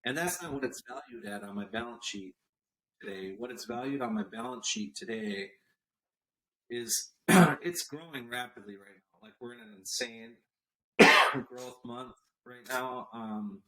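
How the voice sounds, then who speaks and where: A man's voice: mid-range voice, big pitch swings, measured pace, gravelly, sharp tone, rough recording. Emphatic entrepreneur man in his forties. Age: 40s. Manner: emphatic, repeats figures for effect. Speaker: podcast guest, entrepreneur. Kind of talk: casual interview talk.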